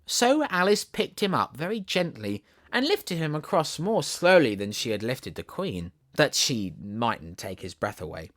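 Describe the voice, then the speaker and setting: A man with a Southern English accent reading a storybook aloud as an audiobook narrator, expressive and theatrical. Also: mid-range voice, unhurried, few pauses, dry recording, studio quality. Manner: theatrical, animated.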